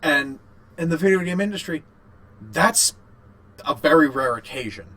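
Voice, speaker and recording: A man co-hosting a podcast in casual chat, rambling and haltingly repeating his phrases. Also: fairly high pitch, expressive, a bit bright. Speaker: podcast co-host. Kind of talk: casual chat about video games.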